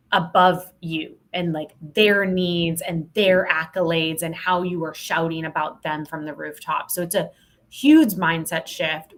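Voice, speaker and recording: Candid woman with a lowish voice. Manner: candid, emphatic, expressive. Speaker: podcast guest, sales leadership expert. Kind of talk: conversational interview answer.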